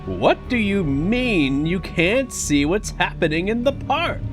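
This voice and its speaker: A man's voice: sits high, very animated, heavily acted. A man playing an anxious, angry park owner in an audio drama, speaking emphatically over music. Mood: worried, demanding. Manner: slow, emphatic, agitated delivery. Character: anxious, angry older male park owner, a grandfather figure.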